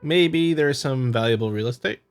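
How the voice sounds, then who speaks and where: Casting: man as a brusque investigator for a visual novel, mid-pitched, skeptical and sardonic, faint music underneath. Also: moderate pace, clean tone, close to an everyday voice. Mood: probing, skeptical. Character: brusque, skeptical male investigator questioning a witness. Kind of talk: visual novel dialogue read aloud.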